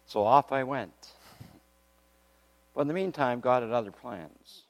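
An older man telling his faith story from the pulpit in a loud, lively way, laughing between points. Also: mid-range voice, a little slow. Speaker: guest speaker giving testimony. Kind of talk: testimony sermon. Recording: long hall reverb.

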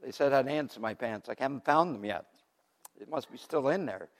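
Older man, loud lively pulpit storyteller, mid pitch, ringing hall sound. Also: brisk. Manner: loud, animated storytelling with laughs. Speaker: guest speaker giving testimony. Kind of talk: testimony sermon. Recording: long hall reverb.

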